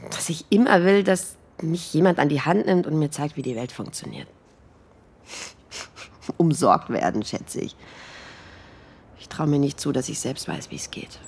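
A woman's voice: low-pitched, unhurried, pauses often, a little mellow, breathy edge, heavily acted. An actress playing a blunt, loyal best friend in her thirties in a naturalistic radio drama, colloquial and teasing. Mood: frank.

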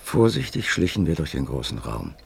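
Very deep, gravelly male storyteller. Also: big pitch swings, moderate pace, a little hiss or hum, heavily acted. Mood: tense narrating. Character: Holmes's anxious loyal companion, narrating their nighttime burglary. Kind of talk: radio drama narration and dialogue.

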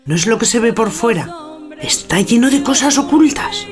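A woman's voice: fairly high pitch, very animated, moderate pace, soft background music. An actress playing a persistent, scheming housemaid in a theatrical audio drama, insistent and coaxing with her master. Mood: coaxing, urging. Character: bossy, persistent, scheming housemaid of an old gentleman.

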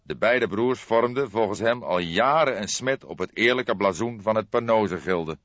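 A man voicing a grey-haired veteran police detective in an audiobook, calm, pensive and measured, explaining things with solemn deliberation. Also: on the low side, pitched above the performer's own voice. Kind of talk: audiobook character dialogue. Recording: dull lo-fi audiobook, roomy echo.

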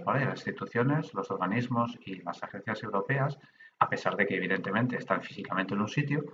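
A man's voice: fairly low pitch, pushed and bright, muffled recording, a little hiss or hum. A middle-aged man the Basque Country, a podcast guest who explains things in a conversational way, with many restarts and hesitations. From Basque Country, Spain. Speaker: guest, data protection official. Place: remote from his own room.

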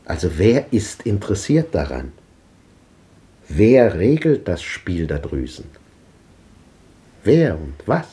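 A cultured old man musing aloud in a vintage radio play. His voice sits low but rises and falls widely as he lectures. The delivery is measured and declamatory. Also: sing-song, warm tone, full character voice. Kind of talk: 1950s radio drama monologue.

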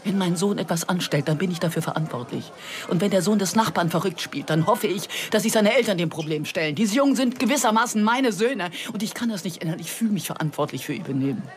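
A woman's voice: fairly low pitch, animated, quick pace, lightly acted. A woman playing an outspoken, indignant, fearless mother in a radio drama, speaking combatively and emphatically. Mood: insistent. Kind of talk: German radio drama dialogue.

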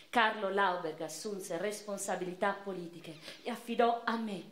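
An actress playing a cultured, impassioned woman revolutionary and newspaper writer in a theatrical monologue, declamatory and literary, with deliberate pauses and emphatic swells. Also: medium pitch, close to an everyday voice. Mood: reflective, questioning. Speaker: stage and radio actress. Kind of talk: dramatic first-person theatrical monologue. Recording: roomy, reverberant theatrical recording.

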